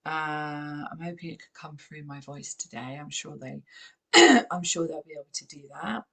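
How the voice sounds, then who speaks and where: A woman with a croaky voice, gently guiding a channeled meditation in a calm, unhurried way. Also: sits low. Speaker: spiritual channeler and host.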